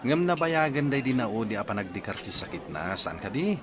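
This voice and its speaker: A man playing a frank, lively-voiced friend. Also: medium pitch, fairly quick, background noise, lightly acted. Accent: Ilocano. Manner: frank, advising. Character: frank loyal friend Brandon. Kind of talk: radio drama acting.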